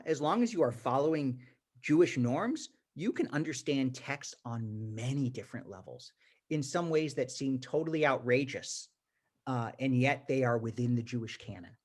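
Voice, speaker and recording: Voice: medium pitch, normal speed. A teacher with an emphatic manner and many restarts, giving an informal lecture to a class over a video call. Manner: animated, emphatic, frequent restarts. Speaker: teacher leading a congregation class.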